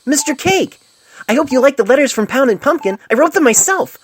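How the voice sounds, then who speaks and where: A woman's voice: sits high, animated, a little fast, pitched above the performer's own voice. A woman voicing a hyper, bubbly young female pony who gushes and rambles with childlike exaggeration in a fan fiction story. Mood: chirpy, excited. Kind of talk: fan fiction audio story, letter read aloud. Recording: noisy amateur cell phone recording.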